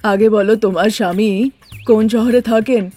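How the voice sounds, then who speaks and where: A woman's voice: medium pitch, fairly even intonation, normal speed, mellow, soft background music, lightly acted. A woman voicing a puzzled male city auto-rickshaw driver in a cartoon, questioning and baffled.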